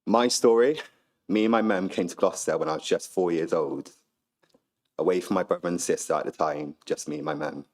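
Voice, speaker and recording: Man, very deep voice, croaky, measured, formal mayoral speech. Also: darkish tone. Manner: slow, measured declaration. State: croaky voice after flu. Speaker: newly elected mayor. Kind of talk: formal oath then speech. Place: council chamber.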